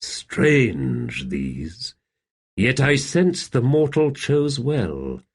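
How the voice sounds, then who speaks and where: A man's voice: medium pitch, huge pitch swings, drawn-out words, breathy edge, pitched above the performer's own voice, heavily acted. A man voicing a cold ancient warrior lord who speaks tersely.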